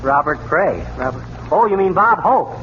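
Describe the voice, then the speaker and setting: An actor playing a folksy, scheming tinkerer with a hesitant, bumbling delivery in a 1930s radio comedy. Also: male voice, a little high, lively intonation, normal speed, fairly bright tone. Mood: mildly exasperated. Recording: very noisy, muffled 1930s radio recording.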